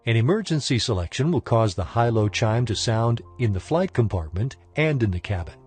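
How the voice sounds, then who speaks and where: Man, mid-pitched voice, scripted technical narration, formal and measured, instructor style, music in the background. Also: lively intonation, darkish tone, husky.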